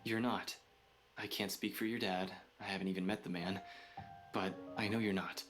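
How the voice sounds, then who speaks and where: A man's voice: low-pitched, fairly even intonation, moderate pace, a bit bright. A man playing an awkward, earnest young man in a visual novel game, sincere and a little hesitant. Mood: reassuring. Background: guitar music.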